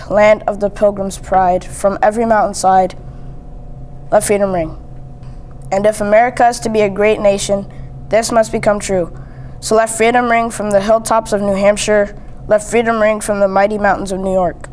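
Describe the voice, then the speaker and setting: A young teen student reads a speech aloud in a flat, even tone with a mid-range pitch. The amateur recording carries faint background noise. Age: young teen, seventh grader. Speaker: student reader. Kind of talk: reading a famous speech aloud.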